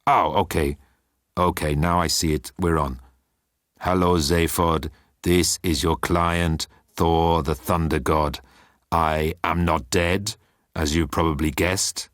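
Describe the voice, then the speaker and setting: A man voicing a big, hairy, slow-witted thunder god who rambles ponderously. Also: sits low, steady tone, a bit bright, no echo, clean studio sound. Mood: earnest, pleased.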